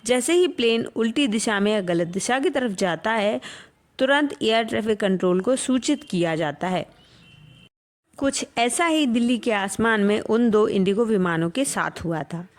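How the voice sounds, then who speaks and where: A woman's voice: fairly high pitch, animated, moderate pace. Indian woman narrating an explainer. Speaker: educational channel presenter.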